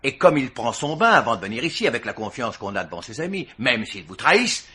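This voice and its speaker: Husky accusing investigator in a radio mystery, methodical, theatrical, big swings in pitch. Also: male voice, sing-song, quick pace, bright, forceful tone, exaggerated voice. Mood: pressing accusation. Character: shrewd, accusing male investigator confronting a murder suspect. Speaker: actor in a radio mystery play. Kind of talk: French radio detective drama acting.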